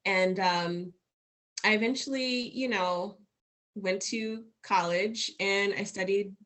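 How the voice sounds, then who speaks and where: A woman's voice: mid-range voice, takes it slowly. A woman in her forties from Washington, D.C., a guest telling her story in a casual interview with lots of fillers. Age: 40s. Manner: animated, with many fillers and restarts.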